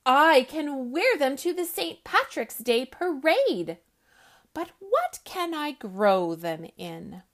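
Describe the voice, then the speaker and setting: A woman's voice: slow, full character voice. A woman doing an eager little rabbit boy. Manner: excited, high and bright in his exclamations. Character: eager little male rabbit, earnest and fretful.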